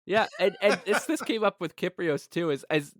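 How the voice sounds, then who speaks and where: A man co-hosting a sports podcast, chatting casually in a halting, gleeful, excitable way. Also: high-pitched, crowd noise. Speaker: podcast co-host. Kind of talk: casual hockey fan chat.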